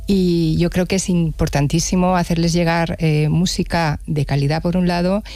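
A woman's voice: lowish voice, steady tone, normal speed, mellow, no room sound. A woman with an accent from Spain, a musician giving reflective, conversational interview answers and rephrasing as she goes. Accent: Spanish from Spain.

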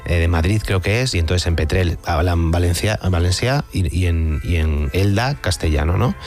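A man being interviewed on radio, speaking reflectively and thinking aloud, with frequent pauses and restarts. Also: low voice, a little mellow, soft background music. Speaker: author interviewed.

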